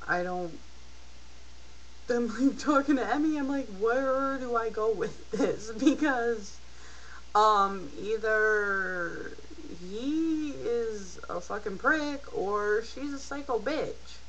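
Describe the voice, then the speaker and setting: A woman's voice: on the high side, takes it slowly, a bit bright, dull audio, a little hiss or hum. A tired young woman telling a personal story casually, in a candid, rambling and hesitant way, as a video blogger. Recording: rock song playing in the background. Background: rock music playing behind the voice.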